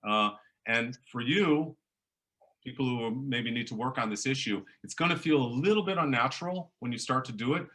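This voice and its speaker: A middle-aged man with a big, booming voice, leading a public speaking workshop and playing with his volume and pace to make his points. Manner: animated, varies volume and pace for emphasis. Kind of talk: lively workshop lecture.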